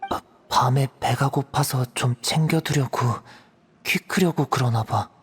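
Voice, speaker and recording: A man's voice: medium pitch, a little slow, husky. An actor playing a shy, reserved teenage boy in a video game, hesitant and understated. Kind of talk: Korean video game voice acting. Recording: long reverb, game music behind. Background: music.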